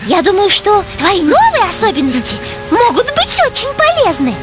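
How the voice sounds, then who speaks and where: A woman voicing a brisk, chatty young female animal in a cartoon, with a bubbly, persuasive manner. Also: very high-pitched, wildly swooping pitch, over loud music, full character voice. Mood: cheerfully persuasive. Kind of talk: cartoon voice acting.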